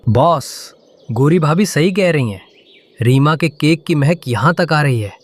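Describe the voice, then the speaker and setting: A woman voicing a dim, eager male crow sidekick in a very low, put-on voice. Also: lively intonation, unhurried. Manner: eager, blundering.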